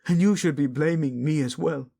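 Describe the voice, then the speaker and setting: A man's voice: on the high side, normal speed, vocal fry, mellow. A man playing a devoted, protective prince wracked with guilt, pleading tenderly and intensely in a romantic audio roleplay, often sinking toward a whisper. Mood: guilty, loving.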